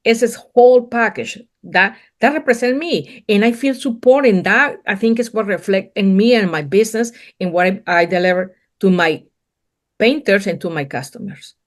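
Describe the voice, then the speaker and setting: A woman's voice: mid-range voice, moderate pace. A middle-aged woman from Colombia, speaking English with a thick Colombian accent as a non-native speaker. She is a business owner being interviewed, and she talks earnestly in broken English, often repeating words. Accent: Colombian Spanish. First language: Spanish. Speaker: guest, painting business owner. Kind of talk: casual interview conversation.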